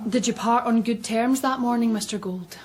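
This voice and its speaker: An actress playing a brisk, matter-of-fact police detective in a radio drama, businesslike in her questioning. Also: a little high, darkish tone, a little hiss or hum, close to an everyday voice.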